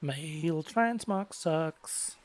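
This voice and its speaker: A man's voice: a little high, animated, extremely slow. A man giving casual gameplay commentary in short, offhand muttered reactions. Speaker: gamer recording a let's play. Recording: faint hiss and noise.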